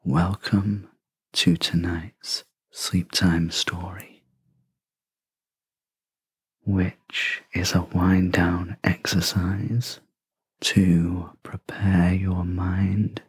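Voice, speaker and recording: A guide leading a sleep meditation in a breathy half-whisper, gentle and soothing, with long pauses between short phrases. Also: low voice, flat delivery, no echo. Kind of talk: guided sleep meditation, soft scripted narration.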